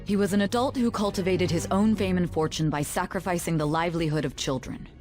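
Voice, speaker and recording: A woman's voice: lowish voice, steady tone, fairly quick, a little mellow, lightly acted. A stern female interrogator , played by a woman, formal, probing and controlled, doubting what she hears. Game dialogue with music behind. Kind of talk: anime game voice acting.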